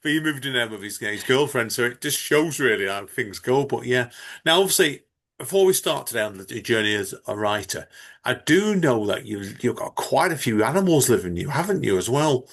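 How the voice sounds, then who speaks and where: A man's voice: mid-range voice, expressive, brisk, fairly bright tone. Guy hosting a podcast over a video call, loose and chatty, laughs a lot. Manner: chatty, rambling, often laughing. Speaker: podcast host. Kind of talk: casual interview chat.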